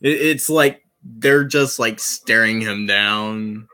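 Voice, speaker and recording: A gaming host doing a casual let's play, reading visual novel text aloud in a theatrical, halting way and repeating words. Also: medium pitch, bright, forceful tone. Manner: theatrical, puts on voices while reading.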